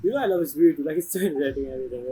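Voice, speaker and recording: A woman's voice: low-pitched, expressive, racing, warm tone, slight background noise. A woman game streamer giving casual, informal reactions in short fragments over gameplay.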